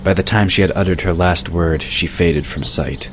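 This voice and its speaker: Very deep male fantasy narrator. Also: fairly even intonation, normal speed, a little hiss or hum. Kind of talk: scripted fantasy story narration.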